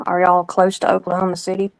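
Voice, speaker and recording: A woman's voice: lowish voice, completely flat delivery, fast, crowd noise. A woman chatting casually as a guest on a live streaming panel. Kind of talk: casual chat.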